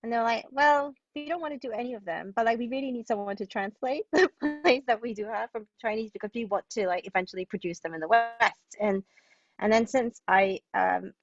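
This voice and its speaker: A woman's voice: on the high side, brisk, highs cut off, soft background music. A woman from Hong Kong speaking casually as an interview guest, chatty and tentative in her phrasing. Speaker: playwright and translator, interview guest.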